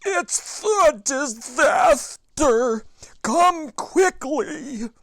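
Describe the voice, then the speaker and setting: Storybook grandpa, elderly and distraught, toothless mumble, high and swooping. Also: male voice, expressive, no room sound, studio quality, exaggerated voice. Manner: loud, slow, wailing, mumbling as if toothless. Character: fussy old grandpa who lost his teeth. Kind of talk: children's storybook read-aloud.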